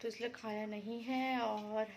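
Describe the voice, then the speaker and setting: A woman vlogging about her day in a casual, rambling way, a little hoarse from being unwell. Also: fairly high pitch, level tone, a little slow, a little mellow, slight background noise. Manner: chatty, rambling, explains herself to viewers. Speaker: vlogger housewife. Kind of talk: casual daily vlog chat.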